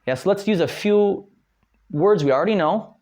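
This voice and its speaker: Brief: young American man, Detroit, relaxed teaching chat, full of fillers and restarts, a bit high-pitched. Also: moderate pace. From Detroit, United States. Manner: chatty, many fillers and restarts. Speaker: guest language learner. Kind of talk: casual spoken lesson.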